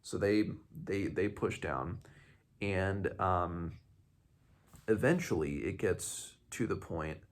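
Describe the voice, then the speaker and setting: A man hosting a casual book discussion, speaking haltingly as he searches for words and corrects himself. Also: low-pitched. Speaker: host of a book read-along. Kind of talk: casual book discussion with some read-aloud passages.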